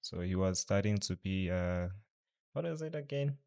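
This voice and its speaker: A young man from South Africa's Venda region, a native Tshivenda speaker, being interviewed as a podcast guest. He speaks softly and hesitantly, with many pauses. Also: sits low, lively intonation, vocal fry, smooth. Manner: soft-spoken, hesitant, with fillers. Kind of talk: casual interview chat.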